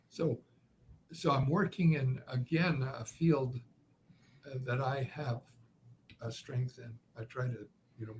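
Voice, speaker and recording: A man from Canada with a slight Ukrainian accent, a writer and journalist giving measured, deliberate interview answers with frequent pauses. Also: mid-range voice, a little mellow, a little hiss or hum, poor audio. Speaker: guest, author and journalist.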